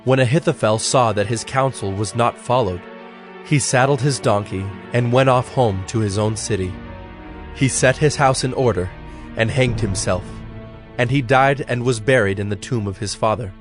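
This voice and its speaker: Guy narrating audio bible, solemn and measured, mid voice, bit of music under it. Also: medium pitch. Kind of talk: dramatized scripture narration.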